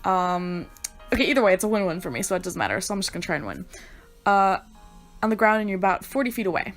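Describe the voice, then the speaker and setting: A woman playing and reading through a story game with playful, excitable commentary, her voice a little hoarse from a sore throat. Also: medium pitch, quick pace, faint music behind. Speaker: gaming YouTuber.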